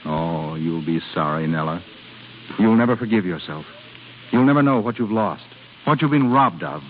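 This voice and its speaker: An actor playing an ardent, possessive suitor in a 1930s radio drama, speaking in an impassioned, insistent, theatrical way. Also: male voice, sits low, expressive, normal speed. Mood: urgent, impassioned. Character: ardent, possessive male suitor pleading his love. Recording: tinny crackly 1930s radio broadcast, music behind.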